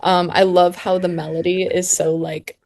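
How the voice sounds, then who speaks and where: A woman's voice: lowish voice, little pitch movement, moderate pace, darkish tone. A woman, a pop singer, chatting casually as an interview guest in informal speech peppered with "like".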